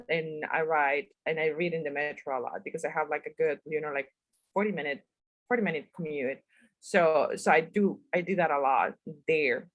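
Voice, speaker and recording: Chatty Mexican-accented woman, low voice. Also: a bit bright, no echo, low-quality recording. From northern Mexico. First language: Spanish. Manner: chatty, laughs often, self-deprecating. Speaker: guest writer. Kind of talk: casual interview answers.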